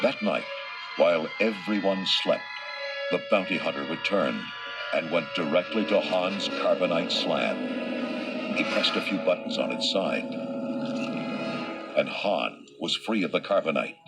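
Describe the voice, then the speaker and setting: A man narrates an adventure storybook from a script. His voice is deep, and loud music runs beneath it throughout. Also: expressive, a little slow, creaky, long pauses.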